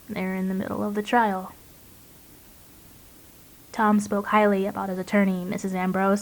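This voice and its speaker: A woman playing a gentle, earnest young waitress, confiding hesitantly in an audio drama monologue. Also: mid-range voice, lightly acted, low-quality recording.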